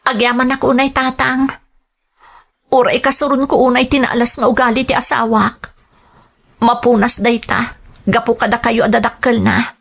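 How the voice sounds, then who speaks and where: A woman's voice: a little high, level tone, moderate pace, creaky, pauses often, fairly bright tone. A woman playing an earnest, respectful migrant-worker mother in an audio drama. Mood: grateful. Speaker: voice actor. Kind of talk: Ilocano audio drama acting.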